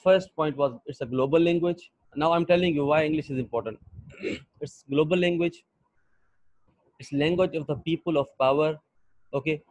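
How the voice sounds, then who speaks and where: A young Pakistani man with a Pakistani accent, lecturing an online English class in a halting way with many fillers. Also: fairly high pitch, a little mellow. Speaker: English teacher. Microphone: computer mic on a video call. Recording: dull lo-fi video call audio.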